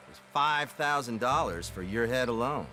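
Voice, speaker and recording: An actor playing a cold, menacing government lawman who speaks in a crisp, clipped, coldly polite way. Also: medium pitch, lively intonation, moderate pace, pushed and bright, soft background music. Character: cold, menacing male lawman, polished and contemptuous. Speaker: government agent. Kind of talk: video game cutscene acting.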